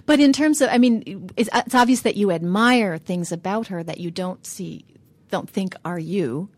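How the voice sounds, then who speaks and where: A woman's voice: mid-range voice, normal speed, darkish tone, no echo. A woman interviewing a stage actor, asking thoughtful, probing questions. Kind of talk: arts interview.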